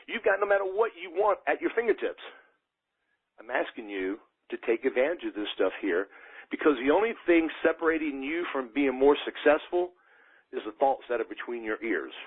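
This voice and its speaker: A man's voice: medium pitch, breathy edge. A man giving an emphatic motivational sales talk as a team leader, exhorting his listeners directly over a conference call. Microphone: telephone. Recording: muffled, narrow phone conference line.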